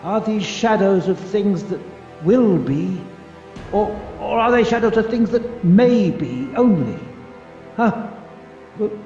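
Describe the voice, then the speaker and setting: A man's voice: high-pitched, darkish tone. A man playing an elderly miser in a radio play, theatrical and stammering. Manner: theatrical, stammering, repeating himself in pleas. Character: elderly miser, terrified and pleading, desperate to repent. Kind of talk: 1930s-style radio drama acting. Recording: muffled recording with long echoing reverb.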